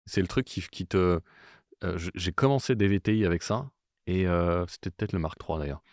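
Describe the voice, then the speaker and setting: A man hosting an interview, reading an introduction and then asking relaxed, familiar questions. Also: low voice, fairly quick, dry recording, studio quality. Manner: relaxed, familiar, short prompts. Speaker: interviewer, host.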